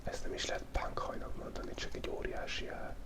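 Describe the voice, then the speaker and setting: A young man whispering close to the microphone in a relaxed, joking way, rambling as he draws. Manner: whispering throughout, joking and rambling while drawing. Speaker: ASMR creator drawing a goblin. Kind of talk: whispered ASMR drawing chat. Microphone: close ASMR microphone.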